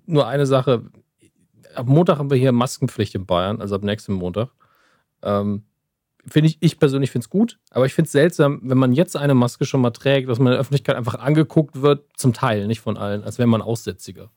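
A man's voice: medium pitch, a little fast, a little mellow, no room sound. Dry-humored podcast man. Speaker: podcast co-host. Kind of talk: casual podcast chat and recorded spoken insert.

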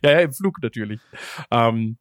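A man's voice: medium pitch, animated, moderate pace, no room sound, studio quality. A man chatting casually as a podcast host, rambling along with ironic jokes. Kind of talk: casual chat.

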